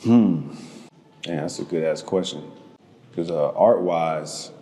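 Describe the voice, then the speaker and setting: A man's voice: low-pitched, slow pace, warm tone, slight background noise. A young man from Georgia, a painter guest in a casual interview, talking laid-back with lots of slang and swearing. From Georgia, United States.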